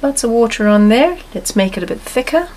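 A woman teaching an acrylic painting step by step, calmly explaining each brushstroke and repeating instructions. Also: fairly high pitch, brisk. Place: studio table, overhead camera on canvas.

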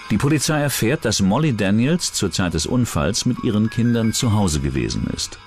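Male true-crime narrator, low register, animated melody, scripted read with a music bed. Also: normal speed. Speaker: documentary narrator. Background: background music.

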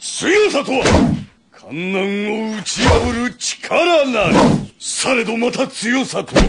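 A man's voice: very high-pitched, very animated, slow pace, very noisy, heavily acted. A man voicing a stern, loyal samurai general in a game, with solemn, terse, archaic warrior speech. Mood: solemn, reflective. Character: stern, stoic, mighty samurai general, loyal unto death. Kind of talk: dramatic Japanese game voice acting. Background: music.

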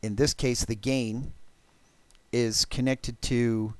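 A man giving a live technical walkthrough of code as a presenter, explaining deliberately and haltingly with pauses. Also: mid-range voice, little pitch movement, a little mellow, smooth, dry recording. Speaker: presenter of a programming talk.

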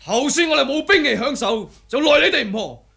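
A menacing wuxia thug, taunting and swaggering in Hong Kong Cantonese. The voice is forceful and swings wildly in pitch, as in a radio play. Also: male voice, lively intonation, a little fast, creaky, full character voice. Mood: gloating menace. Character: menacing, taunting martial arts villain thug.

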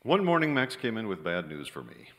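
A jaded, sardonic man tells his story in old-time radio style. His low voice moves with lively swings and carries a long hall echo from the stage. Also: fairly quick, heavily acted. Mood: wry, narrating. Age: middle-aged. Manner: sardonic, plain-spoken. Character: wry, jaded younger man narrating as kept writer. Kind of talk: old-time radio drama narration and dialogue. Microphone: vintage-style stand microphone. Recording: live theater stage, long hall reverb.

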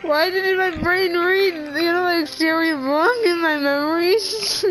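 A young woman chatting casually as she plays a game, excitable, giggly and rambling. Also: extremely high pitch, unhurried, faint music behind, poor audio. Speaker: gamer. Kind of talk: casual chat over gameplay.

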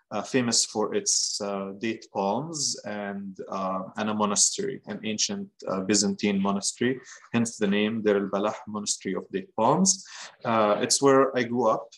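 A man in his thirties from Gaza, a guest on an online panel, speaking in a measured way with frequent fillers over a webcam. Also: on the low side, rough recording. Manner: measured, many fillers like "uh" and "you know". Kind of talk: personal account on a video panel. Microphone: laptop webcam on a video call.

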